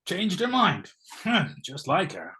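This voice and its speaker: A man playing a blustery, oblivious knighted gentleman in a comic stage play, sounding puzzled. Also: sits high, wildly swooping pitch, slow pace, heavily acted. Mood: breezy, oblivious. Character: bewildered, blustery English-style knighted gentleman, oblivious husband.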